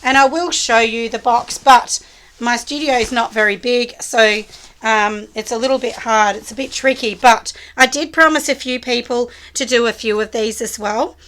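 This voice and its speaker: A woman who makes soap, chatting with viewers as she packs orders in her small studio, husky and hoarse from a cold. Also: fairly high pitch, normal speed, a bit bright. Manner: chatty, talks straight to the viewers. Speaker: soap maker running a small business. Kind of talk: casual chat while packing orders. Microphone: camera set low on a surface.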